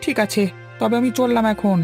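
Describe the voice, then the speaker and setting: A man's voice: very high-pitched, steady tone, moderate pace, vocal fry, soft background music. A man voicing an aggrieved, insistent village shopkeeper who scolds and nags in a cartoon. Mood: pleading, insistent. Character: nagging, aggrieved village shopkeeper demanding repayment. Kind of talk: cartoon dialogue acting.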